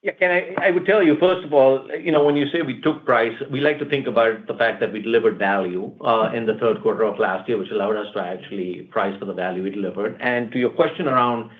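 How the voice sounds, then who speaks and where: A man, a company executive, answering analysts on an earnings call, talking quickly with restarts and stock phrases. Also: mid-range voice. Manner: fast, repeats words, leans on "look" and "at the end of the day". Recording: tinny phone-line audio.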